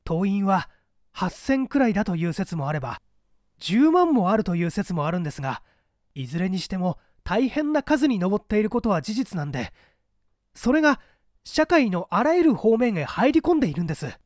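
An anxious male secretary, voiced by a man reading a story pleads earnestly and deferentially. The pitch is high for a man and very animated, recorded dry for an audiobook. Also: normal speed, no room sound. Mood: earnest warning. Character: earnest, anxious male secretary addressing his superior respectfully. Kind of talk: audiobook character acting.

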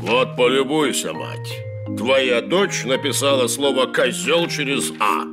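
A man's voice: medium pitch, lively intonation, slow. A man voicing a blustering, pompous middle-aged pig father, a corrupt politician in a satirical cartoon. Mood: pompous, indignant. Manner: pompous, exclamatory. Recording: music behind the voice.

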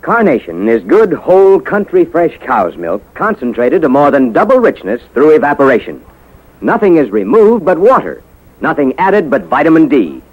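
Animated 1950s American radio announcer, a man. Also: on the high side, normal speed, a little hiss or hum, poor audio. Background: music.

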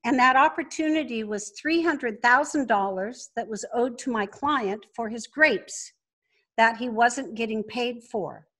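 A woman's voice: fairly high pitch, a little slow, a bit bright. A woman telling stories conversationally as an interview guest. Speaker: podcast guest, entrepreneur.

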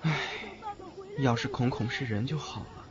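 A man's voice: medium pitch, normal speed, lightly acted. A young man playing a cheeky, lovestruck college student in an audio drama, boyish and earnest. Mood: curious, cheeky. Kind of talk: Chinese audio drama acting. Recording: muffled lo-fi, faint noise.

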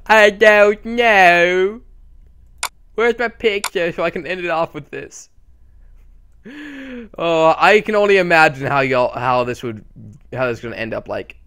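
A man's voice: high-pitched, a little slow, long pauses, smooth. A young man casually talking through a screen-recorded tutorial, rambling and correcting himself as he goes. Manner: rambling, self-correcting, excitable. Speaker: channel host.

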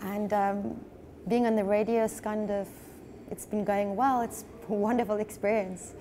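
Musician guest, woman in her thirties, from South Africa; soft mellow voice, dreamy and gushing. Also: medium pitch, steady tone, normal speed, a little hiss or hum. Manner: dreamy, laughs often, gushing. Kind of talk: relaxed interview chat. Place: studio lounge, indoors.

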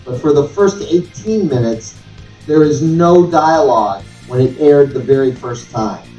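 A man's voice: mid-range voice, expressive, measured pace, dark tone. A man casually telling an anecdote as an interview guest, recorded in a room at a distance. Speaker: interview guest, show creator. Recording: echoey, distant, dull room audio. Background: faint music.

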